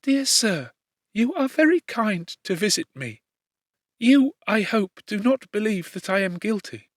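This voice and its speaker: A man voicing a gentle, condemned young woman in an audiobook, speaking tenderly and formally with sorrowful resignation. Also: sits high, very animated, unhurried, no echo, studio quality, voice pushed up, heavily acted. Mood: pleading, sorrowful. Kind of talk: audiobook character dialogue.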